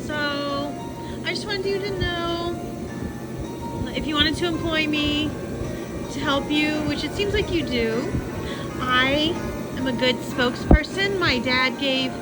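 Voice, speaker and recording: A woman in her late thirties from Florida talks slowly in a high voice. She rambles from thought to thought, stopping and restarting often. Loud music plays clearly behind her the whole time. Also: rough recording. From Florida, USA. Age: 30s. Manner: meandering, with many fillers and restarts. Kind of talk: rambling personal monologue.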